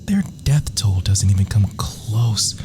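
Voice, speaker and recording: A man's voice: fairly low pitch, very animated, takes it slowly, a little mellow, a little hiss or hum. A man performing whispered ASMR roleplay as an adoring, menacing villain lover, theatrical and florid, intimate in delivery. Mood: mocking, scornful.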